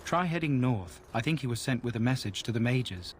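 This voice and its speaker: An actor playing a helpful, chatty camp guard in a fantasy game, with courteous, old-fashioned phrasing. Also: male voice, mid-range voice, lively intonation, brisk, husky. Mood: friendly, helpful. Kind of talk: fantasy video game voice acting.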